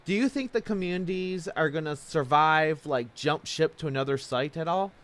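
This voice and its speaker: A man's voice: on the high side, moderate pace, a bit bright. A man hosting an informal interview, chatting casually in a rambling way. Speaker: interviewer, talk show host.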